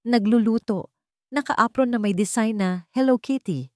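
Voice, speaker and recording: A woman's voice: fairly high pitch, moderate pace, dark tone, no room sound, studio quality, pitched above the performer's own voice, lightly acted. A woman voicing a young mother who teases in a caring way, in a romantic audio drama. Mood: amused, caring. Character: gently teasing young mother, former sweetheart of the hero.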